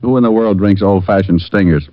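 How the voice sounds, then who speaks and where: Man as a wisecracking private detective narrator, sardonic, dry, low voice, lo-fi old radio. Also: brisk, natural voice. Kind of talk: 1950s radio drama acting. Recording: lo-fi old radio broadcast with faint music.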